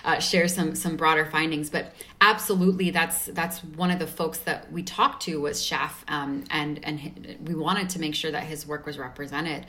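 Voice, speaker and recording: A woman with a low voice answers questions as a guest. She is articulate and upbeat, laying out her points with open enthusiasm. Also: steady tone, moderate pace, hardly any pauses, bright, forceful tone. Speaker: guest representing an advocacy organization.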